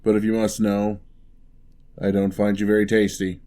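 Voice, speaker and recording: A man playing a huge, gentle, courteous giant in an ASMR roleplay, speaking softly with wry, teasing, old-fashioned politeness. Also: on the low side, monotone, natural voice. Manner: unhurried, soft-spoken, old-fashioned courteous phrasing.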